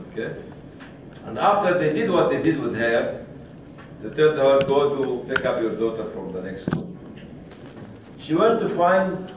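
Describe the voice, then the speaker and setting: A man in his sixties telling a story to a group in a deliberate way, repeating and pausing for emphasis. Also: medium pitch. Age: 60s. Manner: deliberate, with repetition and pauses for emphasis. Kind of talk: spoken storytelling to an audience. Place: medium-sized indoor seminar room. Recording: distant, very echoey room recording with faint noise.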